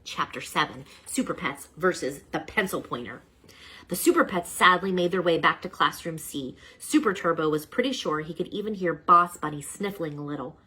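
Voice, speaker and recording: A woman reading a children's storybook aloud as narrator, with an expressive storytelling manner. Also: sits low, moderate pace, husky, rough recording. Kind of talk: children's book read-aloud.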